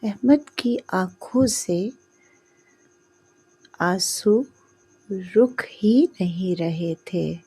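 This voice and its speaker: A woman tells a scripted moral tale with a dark, soft-edged voice. It is husky, with some breath in it. Her delivery is devout and earnest, and she lets each phrase settle before the next. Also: mid-range voice, pauses often, poor audio. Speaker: storyteller. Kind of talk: scripted moral story narration.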